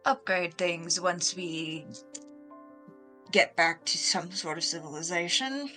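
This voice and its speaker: A woman's voice: lowish voice, slow pace, bright, forceful tone, faint music behind. A woman role-playing in conversation as the captain of a ship in a tabletop game. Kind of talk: in-character tabletop role-play chat.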